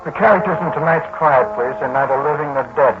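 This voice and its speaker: A man's voice: on the high side, fast. Tinny vintage radio man over music. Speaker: radio writer-director. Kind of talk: dry scripted radio address. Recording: tinny 1940s radio recording. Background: loud music.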